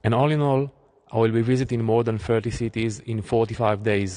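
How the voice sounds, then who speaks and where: Earnest Israeli man with a promo pitch. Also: steady tone, fast, a little mellow. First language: Hebrew. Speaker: activist. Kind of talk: scripted promo monologue.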